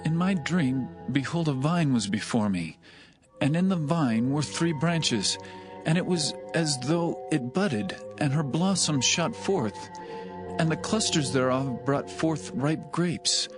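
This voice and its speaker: A man playing a king's chief butler in a dramatised scripture reading, telling his tale in a measured, solemn way. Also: fairly high pitch, animated. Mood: solemn wonder. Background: prominent background music.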